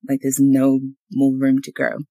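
A woman in her twenties hosts a podcast in a low, grounded voice. She tells stories in a chatty, animated way and laughs easily. The sound is close and dry, with no room echo. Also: unhurried, gravelly. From Zimbabwe and New Zealand. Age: 20s. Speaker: podcast host. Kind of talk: casual conversation.

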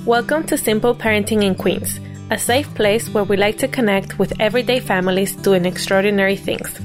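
A woman's voice: medium pitch, music in the background. Curious woman podcast host. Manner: eager, curious questioning with quick reactions. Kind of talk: parenting podcast interview.

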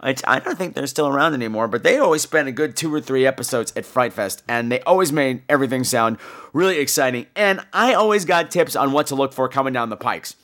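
Podcast host, American man, hoarse voice, camp and lively delivery with big pitch swings. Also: fast talker. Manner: campy, animated, foul-mouthed. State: hoarse from laryngitis. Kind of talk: casual comic podcast chat.

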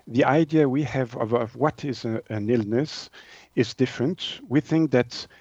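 A man from Switzerland with a French-speaking Swiss accent, explaining haltingly as an interview guest, searching for words. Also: medium pitch, a little mellow, husky, dry recording, dull audio.

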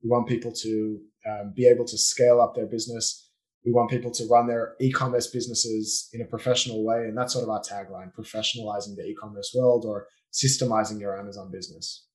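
A man with an Australian accent, a business owner speaking as a guest on a podcast in a relaxed, explanatory way with frequent fillers. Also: fairly low pitch, a little fast, rough recording. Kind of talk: casual conversational interview.

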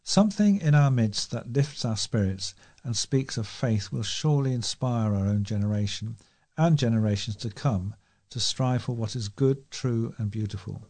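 A man's voice: medium pitch, moderate pace, a little mellow. A man volunteer reading a local news feature aloud. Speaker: volunteer newspaper reader.

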